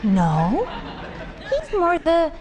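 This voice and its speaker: An actress playing a sweet, flirty game show assistant in a video game. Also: female voice, extremely high pitch, huge pitch swings, drawn-out words, a little mellow, a faint crowd, full character voice. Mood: sweet, breezy. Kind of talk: showy TV co-presenting in a game.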